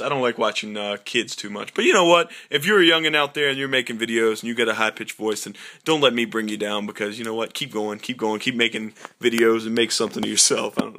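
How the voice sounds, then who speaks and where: Young male gaming host, bright forward voice, loose and conversational. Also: mid-range voice. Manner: chatty, informal, rambling. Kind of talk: casual gameplay commentary.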